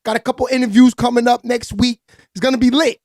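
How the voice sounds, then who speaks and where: Raspy New man hyping a stream. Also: very high-pitched, little pitch movement, fast, no echo, studio quality. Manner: hyped, slangy, frequent shout-outs to viewers. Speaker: live-stream entertainment news host. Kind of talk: casual hip-hop gossip commentary.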